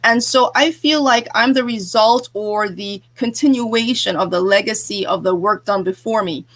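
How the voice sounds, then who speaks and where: A woman's voice: medium pitch, normal speed, a bit bright. Earnest woman coach giving advice. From born in England, raised in Jamaica and New Jersey. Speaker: guest, business coach and author. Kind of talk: live interview chat.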